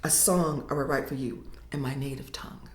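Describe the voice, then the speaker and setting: A woman poet reading her verse slowly and dramatically, sinking to whispers at times, then talking casually about her writing. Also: rumbling low. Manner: slow, dramatic reading with hushed whispered lines. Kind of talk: poetry reading and casual interview. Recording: echoey room.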